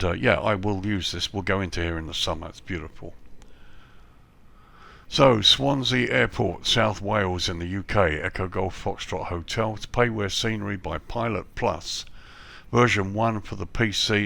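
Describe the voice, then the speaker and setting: Deep gravelly man with a cold. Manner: relaxed, repeats praise, frequent ums. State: has a cold, slightly hoarse. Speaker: flight simulator reviewer. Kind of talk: casual scenery review voice-over.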